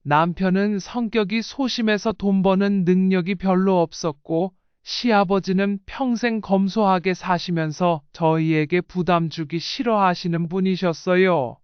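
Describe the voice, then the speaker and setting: A woman's voice: lowish voice, steady tone, a little slow, hardly any pauses, clear, resonant tone, no room sound, dull audio. A woman reading a scripted first-person life story in audiobook style. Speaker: narrator.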